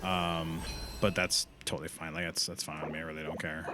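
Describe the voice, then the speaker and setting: A man doing casual livestream commentary on a game, informal and sweary, thinking out loud as he plays. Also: a bass voice, flat delivery, fairly quick, background noise. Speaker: live game streamer.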